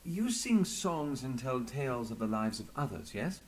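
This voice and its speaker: An actor playing an authoritative, guarded inspector in a video game, speaking in a formal, measured and commanding manner. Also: male voice, medium pitch, sing-song, close to an everyday voice. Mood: probing. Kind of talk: scripted video game acting.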